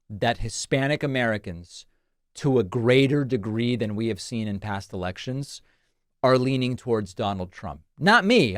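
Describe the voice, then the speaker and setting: Pria komentator politik yang tegas dan sinis, dari Argentina, berbahasa ibu Spanyol, suara kering studio. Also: male voice, medium pitch, no room sound. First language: Spanish. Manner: emphatic, scornful, reads out posts in a mocking tone. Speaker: political commentary host. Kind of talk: political commentary monologue. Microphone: studio broadcast mic.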